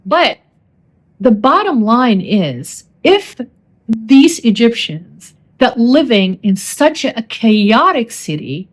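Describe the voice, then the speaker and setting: A woman with an Arabic accent, hosting a solo podcast and telling travel stories in an animated way with many restarts and fillers. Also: fairly high pitch. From Saudi Arabia. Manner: animated, fast-talking with frequent restarts and fillers. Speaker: podcast host.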